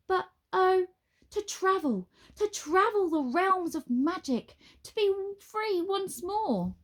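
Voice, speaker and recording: A woman voicing a flamboyant, excitable male wizard who speaks in a grand, overly polite way. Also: extremely high pitch, takes it slowly, pitched above the performer's own voice, exaggerated voice. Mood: grandiose.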